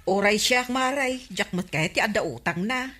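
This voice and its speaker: An actress with an Ilocano accent playing a nosy, chiding neighbor who nags about debts in comedy radio drama style. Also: female voice, mid-range voice, lively intonation, normal speed, fairly bright tone. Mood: gossipy.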